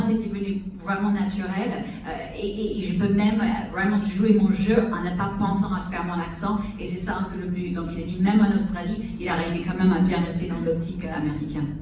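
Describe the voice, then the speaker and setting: A woman interviewing and interpreting guests' answers into French, with quick paraphrasing and fillers. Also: medium pitch, fairly even intonation, mellow. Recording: echoey room, distant mic, faint noise.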